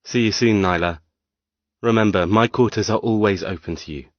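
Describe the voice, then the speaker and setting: Man acting an easygoing, coaxing younger brother, low voice. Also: normal speed, dry recording, lo-fi sound, close to an everyday voice. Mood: quietly defiant. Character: soothing, quietly defiant younger brother, a rival suitor. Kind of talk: audiobook character dialogue.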